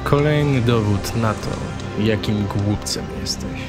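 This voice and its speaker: An actor playing a mocking, treacherous villain in an audio drama, sneering and gloating. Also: male voice, lowish voice, takes it slowly, loud music behind the voice.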